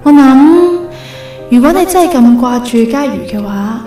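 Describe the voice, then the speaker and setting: An actress playing a wistful young woman reading out a farewell confession in a radio drama, gentle, reflective and sorrowful. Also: high-pitched, expressive, measured pace. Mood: wistful, confessing. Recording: roomy, distant voice over music. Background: music.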